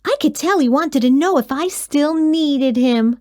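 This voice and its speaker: A woman narrating a children's storybook in an expressive read-aloud style. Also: sits high, unhurried, no echo, studio quality.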